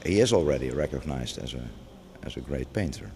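A man's voice: a bass voice, animated, fairly quick, creaky, a little mellow. A middle-aged man, a museum curator talking off the cuff, hesitant with many restarts and fillers, recorded inside a large gallery. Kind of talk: unscripted gallery interview. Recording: long gallery reverb, faint background noise.

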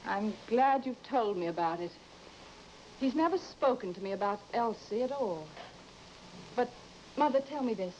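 Woman playing a polite young wife, highish voice. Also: lively intonation, moderate pace, pauses often, a little mellow, natural voice. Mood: anxious, puzzled. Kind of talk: old-time radio drama acting. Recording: muffled, hissy vintage recording.

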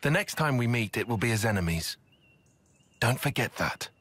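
An actor playing a stern, guarded male soldier with formal, measured wording in a video game. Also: medium pitch, animated, a bit bright, husky. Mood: cool, formal.

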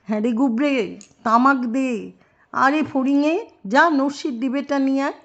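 Woman voicing a sly flattering fox schoolmaster, drawn-out delivery. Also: sits high, lo-fi sound, voice pushed up. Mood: fussy, welcoming. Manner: fussy, coaxing, ordering pupils about.

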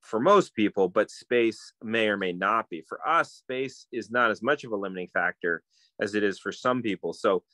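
A middle-aged man talks on a phone video call from home, his voice fairly low and the sound dry and close. He thinks aloud, meanders, and often stops to restart a sentence. The tone is relaxed and conversational. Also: moderate pace, no room sound. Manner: thoughtful, meandering, many fillers and restarts. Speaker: guest, permaculturist. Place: home room with bookshelves, indoors.

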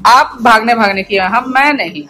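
A woman's voice: on the high side, normal speed, over music. A retired woman in her sixties with a chatty manner, vlogging casually to viewers about family life and an upcoming trip, recorded on a handheld phone. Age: 60s. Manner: chatty, talks to viewers and the grandchild. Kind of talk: casual family vlog narration. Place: home and shopping mall, indoors. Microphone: phone held at arm's length.

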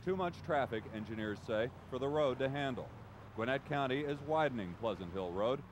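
A man's voice: mid-range voice, animated, brisk. Male news reporter, mid-range voice, scripted feature narration over faint background noise.

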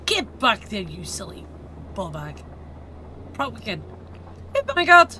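A woman's voice: on the high side, bright, forceful tone, a little hiss or hum. A woman streaming a game live, muttering commands aloud and swearing at it. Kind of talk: live gameplay commentary.

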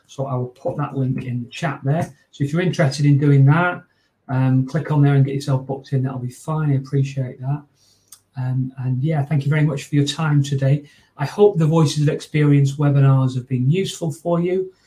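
A man's voice: medium pitch, fairly even intonation, moderate pace, warm tone, poor audio. A man in his forties from the north of England, with a Northern English accent, wrapping up a training webinar at home over a video call in a chatty, informal way with lots of fillers and restarts. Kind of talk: closing remarks of a training webinar. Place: small room at home. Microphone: video call webcam.

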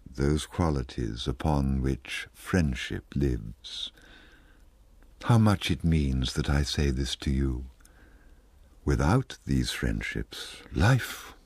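A man plays a wistful, ageing society lady in a poetry reading. The voice is very deep and slow, halting and repeating itself with plaintive yearning. The recording is dry. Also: darkish tone, slightly rough, dry recording, exaggerated voice. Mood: effusive, wistful.

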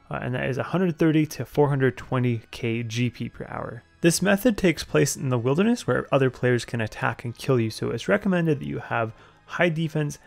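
A young man reads out a video game tutorial as a voice-over, plain and matter-of-fact, slipping in the odd filler. His voice sits at a middle pitch with a gravelly, fry-laden edge. Soft music plays faintly underneath. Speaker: game guide narrator.

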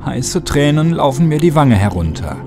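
A man's voice: on the high side, sing-song, moderate pace. A man reading a first-person horror story as a dramatic, theatrical narrator. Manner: theatrical, drops into hushed whispers. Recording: music behind the voice. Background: music.

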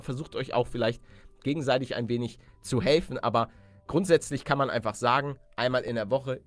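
A man hosts a let's-play in a mid-range voice. He talks to his viewers informally and chattily, with plenty of youthful gamer slang. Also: mid-range voice. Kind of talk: casual gaming commentary, addressing viewers.

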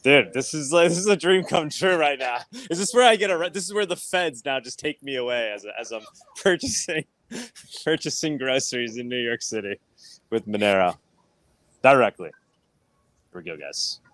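A man's voice: medium pitch, normal speed, dry recording. A middle-aged man filming himself in a grocery store, chatting eagerly to viewers and interviewing the owner through an earphone mic. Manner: excited, laughing, talking to camera. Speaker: show correspondent. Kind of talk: casual vlog interview. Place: grocery store checkout, indoors. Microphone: wired earphone mic.

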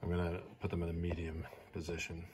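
A man's voice: low voice, monotone, quick pace, a little mellow. A middle-aged man in his fifties filming a casual road-trip vlog outdoors on a handheld phone, chatting in a self-deprecating way and fumbling for words as he works on his car. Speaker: vlogger fixing his classic car. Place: outdoors in a sunny desert yard. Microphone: handheld phone camera at arm's length.

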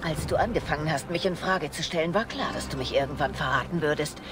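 A woman's voice: sits low, quick pace, natural voice. An actress playing a cynical, manipulative female spymaster, a proud betrayer who speaks with taunting self-assurance in a dubbed video game. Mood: defiant. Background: loud music.

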